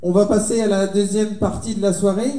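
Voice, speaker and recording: A man hosting a farmers' assembly, briskly announcing the next part of the evening. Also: high-pitched, level tone, dark tone, reverberant. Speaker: event host.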